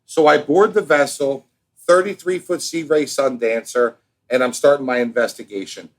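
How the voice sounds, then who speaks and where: A man's voice: medium pitch, normal speed. Showy, teasing American man hosting a podcast. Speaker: podcast co-host. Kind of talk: casual, bantering podcast talk.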